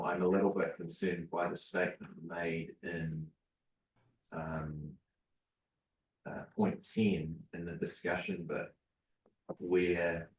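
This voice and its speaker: A man on a council committee raising concerns in a deliberate, formal way. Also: deep voice, roomy sound, far from the mic, poor audio. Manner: deliberate, formal, many ums. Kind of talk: committee discussion. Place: council chamber meeting.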